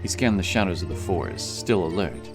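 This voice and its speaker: A man's voice: sits low, lively intonation, fairly quick. A man reading scripted fantasy narration as a dramatic audiobook narrator. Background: music.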